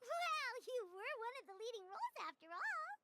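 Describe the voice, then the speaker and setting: A woman's voice: very high-pitched, sing-song, moderate pace, very noisy, heavily acted. A woman voicing a small, chatty, curious female companion creature who talks about herself in the third person. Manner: chirpy, refers to herself by name. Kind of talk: game voice acting. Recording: clear game music behind.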